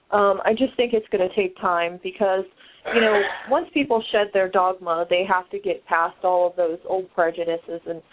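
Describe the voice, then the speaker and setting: A woman's voice: lowish voice, slight background noise. A woman co-hosting a podcast, speaking earnestly and supportively in casual chat. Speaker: podcast co-host. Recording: tinny phone-like sound.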